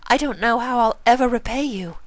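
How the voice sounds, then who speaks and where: A man puts on a worried female cartoon pony with a raised, high voice. She sounds earnest, remorseful and pleading, a storybook character read in a dry recording. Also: fairly quick, no room sound. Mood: grateful.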